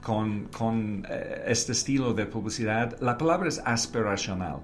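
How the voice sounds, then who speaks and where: A man from Canada, a native English speaker with a foreign accent in Spanish, answering interview questions haltingly and slipping in English words. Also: lowish voice, faint music behind. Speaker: interview guest, advertising creative and professor.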